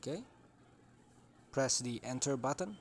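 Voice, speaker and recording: A man's voice: medium pitch, expressive, a little slow. A man gives a casual step-by-step tutorial in short, simple instructions. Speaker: tech tutorial host. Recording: clearly noisy room ambience.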